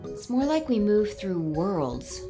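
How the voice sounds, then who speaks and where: An actress playing a composed, knowing young woman in a video game, speaking in a measured, matter-of-fact, slightly teasing way. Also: medium pitch, sing-song, over music. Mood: explaining.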